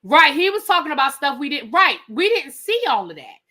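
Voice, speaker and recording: A woman's voice: sits high, fairly quick. A woman hosting a live TV recap, telling the story casually and acting out the quotes, with some swearing. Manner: animated storytelling, acts out quotes; profane, conversational. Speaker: TV show reviewer.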